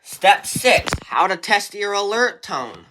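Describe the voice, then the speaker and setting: A man's voice: high voice, sing-song, slow, pushed and bright, a little hiss or hum, low-quality recording. A man giving informal step-by-step instructions in a plain, repetitive explaining manner. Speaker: tutorial presenter. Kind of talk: informal step-by-step how-to narration.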